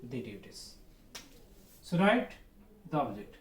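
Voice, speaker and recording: Male teacher, Bangladeshi accent, lively swooping intonation, didactic and repetitive, picked up by a distant mic in a roomy space. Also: mid-range voice, takes it slowly. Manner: didactic, repetitive, asks and answers own questions. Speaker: school teacher. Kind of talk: online grammar lesson. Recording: roomy echo, distant mic, faint noise.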